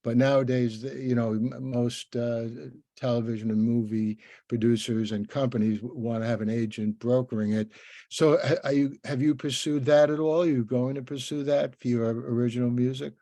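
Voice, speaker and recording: A man's voice: medium pitch, fairly even intonation, normal speed, mellow, no echo. An older man conducting a conversational interview, rambling and hesitant as he repeats words and muses.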